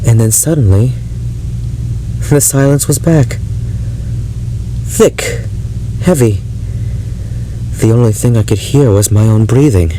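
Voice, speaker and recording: Slow, unhinged obsessive man, played by an actor. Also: medium pitch, slight background noise, close to an everyday voice, poor audio. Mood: manic, intense. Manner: halting, brooding, breaking into unhinged laughter and intensity. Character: unhinged obsessive yandere ex-lover confessing to his therapist. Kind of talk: dramatic audio roleplay monologue.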